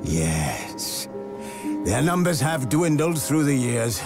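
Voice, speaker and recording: An actor playing a fanatical preacher in a game cinematic, delivering grave, scornful oratory to war-torn people in a gravelly voice. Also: male voice, medium pitch, big pitch swings, music in the background. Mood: bitter, contemptuous. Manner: grave, scornful, slow and emphatic, swelling to loud cries. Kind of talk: theatrical fire-and-brimstone oration in a game cinematic.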